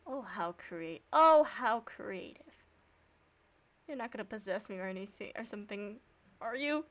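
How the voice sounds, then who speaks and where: A woman streamer reading visual novel narration and dialogue aloud, with sarcastic, expressive reactions. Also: mid-range voice, moderate pace.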